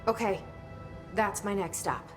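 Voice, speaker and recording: A woman's voice: a little slow, music in the background, natural voice. A woman playing a determined, wary young woman in a video game, direct and questioning in naturalistic dialogue. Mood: wary, curious. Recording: clear background noise and rumble.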